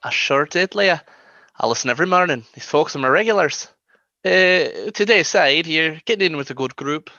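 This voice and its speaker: Man acting as a friendly bartender, mild, hesitant, higher voice, radio play, dry muffled sound. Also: animated, moderate pace, sharp tone, dry recording. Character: friendly, easygoing bartender, gently firm.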